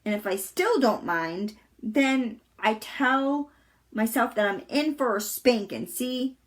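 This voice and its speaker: A woman's voice: on the high side, animated, takes it slowly. A woman voicing a cheerful, bold, eccentric young girl from a storybook, boastful and playfully emphatic. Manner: playful, emphatic, matter-of-fact tall-tale telling.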